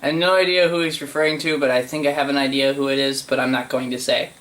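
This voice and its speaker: A man's voice: mid-range voice, steady tone, quick pace, fairly bright tone. A man hosting a gaming video, giving casual reaction commentary in an opinionated, colloquial way.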